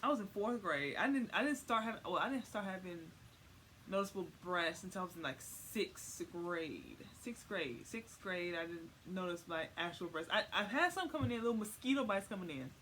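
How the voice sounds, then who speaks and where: A woman's voice: fairly low pitch, animated, moderate pace, a bit bright, low-quality recording. A young woman giving a casual comic explainer in informal slang, rambling and repeating words for emphasis. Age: young adult. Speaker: vlogger. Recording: faint noise, home recording.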